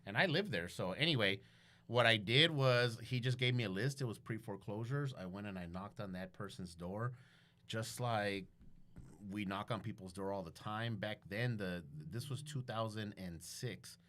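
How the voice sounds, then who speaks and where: A man's voice: lowish voice, moderate pace, a bit bright, slight background noise. A man speaking casually as a podcast guest, telling stories in a blunt, profanity-laced way and acting out quoted dialogue. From Guatemalan background. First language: bilingual English and Spanish. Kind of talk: casual storytelling interview.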